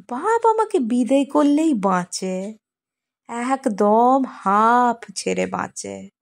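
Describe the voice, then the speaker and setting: Lady acting out a poor young village woman in a poem, very slow n wistful, high voice, rural purulia bengal accent. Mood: plaintive. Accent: Purulia rural western Bengal dialect. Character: poor, dark-skinned young village woman, plaintive and defiant. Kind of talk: dramatic monologue poem recitation.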